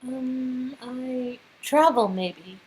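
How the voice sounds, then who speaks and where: A woman's voice: sits high, very slow, a little mellow, voice pushed up, full character voice, low-quality recording. A woman acting out a wistful, affectionate young woman in a romantic game story, hesitant and tender. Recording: clear background noise.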